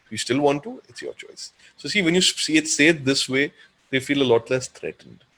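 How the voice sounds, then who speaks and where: A man in his thirties with an Indian accent and a deep voice, giving informal advice in a live Q&A and acting out imagined dialogue. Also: a little fast. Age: 30s. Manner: animated, acts out imagined dialogue. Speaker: guest speaker and counselor.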